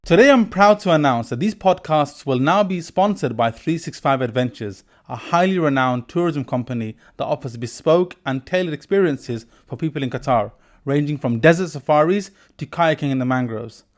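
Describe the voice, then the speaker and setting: A man's voice: a little high, animated, moderate pace. Thoughtful male podcast host. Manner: reflective, thoughtful conversational delivery. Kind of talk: podcast interview and sponsor read.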